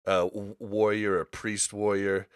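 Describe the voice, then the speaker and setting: A man's voice: lowish voice, flat delivery, unhurried, smooth, no echo, studio quality. A man hosting a casual gaming podcast, talking in loose slang with the odd swear word. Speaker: podcast host.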